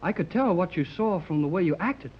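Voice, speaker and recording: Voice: mid-range voice, expressive, fairly quick, natural voice. An actor playing an eager, loyal teenage boy adventurer in a 1930s radio drama, with slangy enthusiasm. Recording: muffled, noisy 1930s radio recording.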